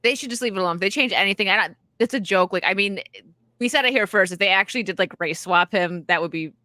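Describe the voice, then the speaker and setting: A woman co-hosting a casual podcast, blunt and quick to laugh. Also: mid-range voice, sharp tone, dry recording. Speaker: podcast co-host.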